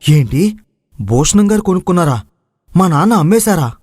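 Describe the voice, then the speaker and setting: A man's voice: high voice, animated, normal speed, a little mellow, no echo, clean studio sound. A man playing an earnest young village son. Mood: shocked. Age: young adult. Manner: earnest, respectful. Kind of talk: dramatized moral story acting.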